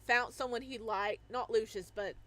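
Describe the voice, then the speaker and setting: A woman's voice: a little high, steady tone, normal speed, fairly bright tone. A woman reading a visual novel aloud, narrating and adding giggly, chatty asides.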